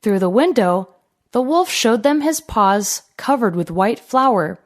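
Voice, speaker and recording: A woman reads a children's fairy tale at an unhurried pace. Her voice is fairly high and very animated, with deliberate, expressive storytelling phrasing.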